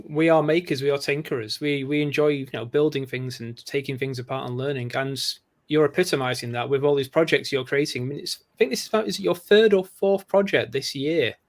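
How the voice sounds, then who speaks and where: A man's voice: on the high side, a little fast. Upbeat man hosting a podcast. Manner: friendly, chatty, laughs easily. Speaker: podcast host.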